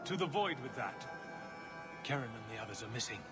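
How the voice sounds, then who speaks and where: Disgruntled game knight trainee, done by a male actor, blunt and defiant, music loud underneath. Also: mid-range voice, expressive, fairly quick, natural voice. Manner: blunt, indignant. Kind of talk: video game dialogue acting.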